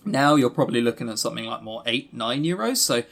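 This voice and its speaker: A man's voice: medium pitch, lively intonation, quick pace, vocal fry, a bit bright. A man co-hosting a podcast, chatting casually and giving opinionated reviews with sarcastic, joking exasperation. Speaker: podcast co-host reviewing visual novels.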